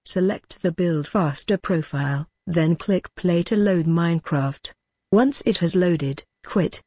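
A woman's voice: on the low side, slow, a little mellow, no echo. A woman reading step-by-step instructions in a dry, matter-of-fact way. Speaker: tutorial narrator. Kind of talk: scripted narration.

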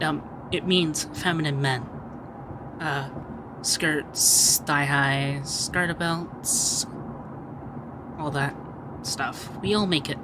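A man playing a shy, sweet young femboy heir in an ASMR roleplay, hesitant and gently flustered, stammering as he talks. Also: on the high side, noisy background. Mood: bashful. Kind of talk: ASMR roleplay audio drama.

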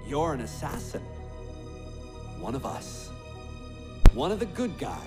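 An actor playing an unhinged, mocking guide in a video game, sardonic and theatrical with drawn-out pauses. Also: male voice, medium pitch, wildly swooping pitch, loud music behind the voice. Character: unhinged, mocking male guide speaking from inside a machine. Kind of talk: dramatic video game monologue.

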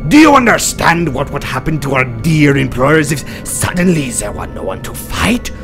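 A man's voice: on the high side, big pitch swings, normal speed, vocal fry. Man playing a condescending, treacherous cartoon spy. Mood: lecturing, menacing. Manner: condescending, theatrical, menacing. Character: smooth, treacherous male spy, coolly professional villain. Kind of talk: fan-dubbed comic voice acting. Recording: music behind the voice.

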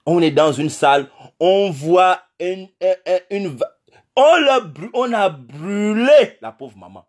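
A man's voice: sits high, expressive, slow pace, darkish tone. Emphatic Gabonese man lecturing into his phone. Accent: Gabonese French. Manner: emphatic and lecturing, with many repetitions and rhetorical questions. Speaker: community speaker addressing the diaspora. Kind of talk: impassioned direct-to-camera message. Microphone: phone held close in selfie framing.

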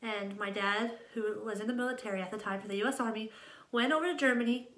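Young woman, American accent, fairly high bright voice, casual rambling vlog, echoey home room. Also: quick pace. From Ohio, United States. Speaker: channel host introducing herself. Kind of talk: casual vlog chat to camera. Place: small room at home, indoors.

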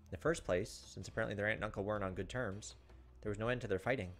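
A man in his thirties reads a visual novel aloud. His voice is fairly low and he moves along briskly, with faint music behind him. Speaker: gaming video host. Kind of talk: reading visual novel text aloud with casual asides. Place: indoors, recording at a computer. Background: faint game music.

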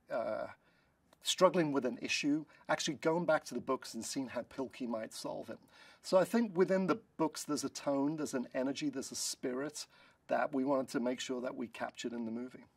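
A middle-aged man, a film producer, chatting in a press interview in a studio, relaxed and full of ums and uhs. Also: mid-range voice, creaky, dry recording, far from the mic. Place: studio in front of a blue backdrop.

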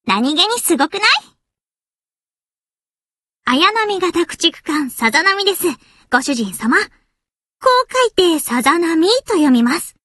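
A woman's voice: very high-pitched, animated, measured pace, pauses often, a bit bright, dry recording, clean studio sound, heavily acted. A woman voicing a cheeky, quirky young warship girl in an anime game, cutesy, playful and mock-polite. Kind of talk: Japanese anime game character lines.

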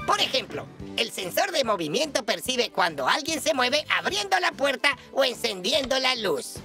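A woman giving scripted educational narration in a clear, explanatory way. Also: high-pitched. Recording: music playing under the narration.

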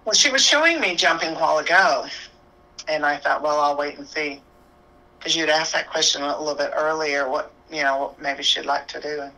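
A woman's voice: sits low, moderate pace, sharp tone, rough recording. A woman guest who works as an animal communicator, talking casually in a strong, emphatic voice. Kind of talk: casual conversation.

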